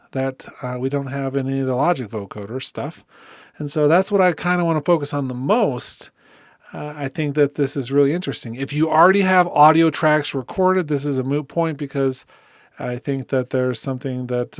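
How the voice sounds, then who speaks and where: A man giving a casual, conversational software walkthrough as a tutorial host. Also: on the high side, dry recording, clean studio sound. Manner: informal, conversational.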